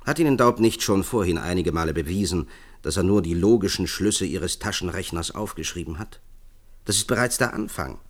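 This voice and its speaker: A man's voice: low-pitched, animated, brisk. An actor playing an earnest male expert in a German science-fiction radio drama, formally lecturing and persuading. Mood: explaining. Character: earnest male science expert pitching a visionary project to leaders.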